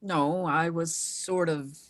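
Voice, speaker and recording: A woman playing a brisk widowed mother working as an investigator in a screenplay table read. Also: low-pitched, fairly even intonation. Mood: defensive.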